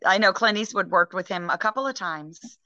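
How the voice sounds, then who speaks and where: A middle-aged actress chatting in a rambling, casual way over a video call from home, with many ums and restarts. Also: female voice, medium pitch, animated, a little fast, bright, forceful tone, dry recording. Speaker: actress guest. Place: home room, indoors. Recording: dull lo-fi video call audio.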